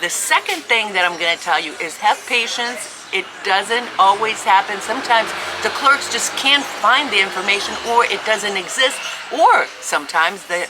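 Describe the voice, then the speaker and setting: A woman's voice: mid-range voice, expressive, normal speed, hardly any pauses. Upbeat woman hosting a travel vlog. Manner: upbeat, enthusiastic. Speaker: vlog host. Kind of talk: travel vlog narration and chat. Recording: noisy outdoor recording.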